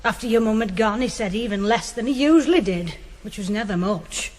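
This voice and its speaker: A woman's voice: a little high, fairly quick, a little mellow, close to an everyday voice. A woman playing a matter-of-fact, gossipy neighbour in a radio drama. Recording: long reverberant hall-like echo.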